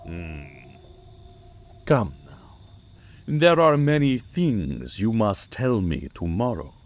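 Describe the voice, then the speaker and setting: Slow, menacing vampire count. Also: male voice, lowish voice, sing-song, slight background noise. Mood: courtly, commanding. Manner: slow, deliberate, formal and ominous. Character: Count Dracula, courtly, menacing male vampire nobleman. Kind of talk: vintage radio horror drama acting.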